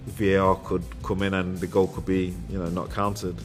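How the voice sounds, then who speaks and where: Man, reflective interview, deep monotone, music behind. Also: normal speed.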